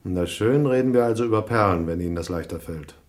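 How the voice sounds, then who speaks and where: A man acts a hardboiled private eye from a crime radio drama. His voice is low, and he talks in terse, dry, unimpressed lines, as narrator of his own case and as tough questioner. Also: fast talker, close to an everyday voice. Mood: tough, probing. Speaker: actor narrating and playing the lead.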